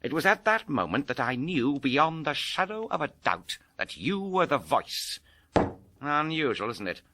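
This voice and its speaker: Actor as a swooping, bright-voiced pulp detective hero. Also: male voice, on the high side, sing-song, a little slow, a little hiss or hum, heavily acted. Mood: cocksure, taunting. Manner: wisecracking, cocksure banter. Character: fast-talking, hard-hitting male adventurer detective, cheerfully tough. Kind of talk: tongue-in-cheek pulp detective radio drama.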